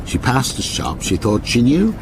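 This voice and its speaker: An actor playing a courteous, softly insistent storyteller and train passenger in a radio ghost story, with a measured, solicitous way of talking. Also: male voice, medium pitch, big pitch swings, background noise, close to an everyday voice. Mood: atmospheric, suspenseful.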